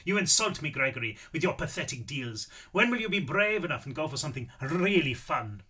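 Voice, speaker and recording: Casting: male narrator as old Austrian lawyer, high and animated, mock-dramatic teaser with a soft spot, audiobook role. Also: a little fast, husky, heavily acted. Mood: playful scorn. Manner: theatrical, playfully grumbling. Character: elderly Austrian lawyer, teasing, dramatic, fond old man. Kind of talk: audiobook character voice.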